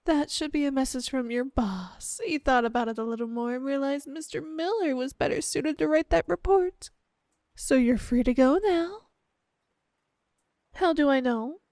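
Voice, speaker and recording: A woman playing a sweet, possessive, obsessed wife with quiet menace, cooing and coaxing in a roleplay ASMR style. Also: high voice, no echo, studio quality. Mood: falsely innocent. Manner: cooing, saccharine, coaxing, drawn-out delivery.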